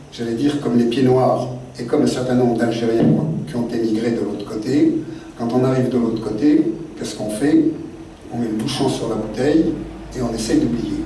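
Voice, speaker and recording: An older man, a guest author seated at a desk microphone, telling memories in a halting, digressive way full of fillers. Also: mid-range voice, dark tone, slight background noise. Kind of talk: rambling spoken memoir talk. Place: literary café meeting room. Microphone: desk mic on stand, seated. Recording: very echoey room, mic at a distance.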